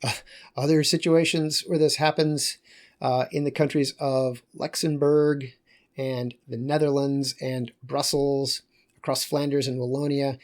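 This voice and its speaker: A man lecturing on geography in a loose, conversational way, pausing and correcting himself as he goes. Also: mid-range voice, little pitch movement.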